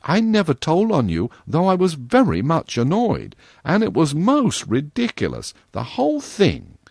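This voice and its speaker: A man's voice: on the high side, huge pitch swings, a little slow, vocal fry, darkish tone, dry recording, studio quality, pitched above the performer's own voice, full character voice. A man voicing an earnest, primly stern young girl in a storybook reading, with a scolding manner.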